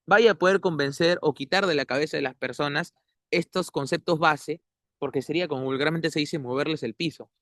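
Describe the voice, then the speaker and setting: Man, measured academic manner, fairly high voice, dry close sound. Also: a bit bright, no echo. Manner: measured, academic, ends points with tag questions. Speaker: interview guest. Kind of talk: analytical conversational commentary.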